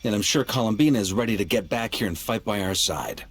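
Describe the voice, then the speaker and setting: A man voicing a calm, supportive comrade in a video game cutscene. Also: fairly low pitch, fast. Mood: reassuring. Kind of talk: animated game cutscene voice acting.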